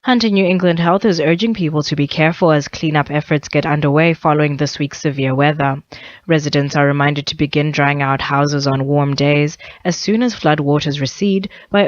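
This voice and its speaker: A woman's voice: low voice, no room sound. A woman newsreader delivers a scripted bulletin. The sound is very dry and close, with a slightly dull, lo-fi broadcast tone. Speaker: news reader. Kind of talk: scripted radio news bulletin. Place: radio studio.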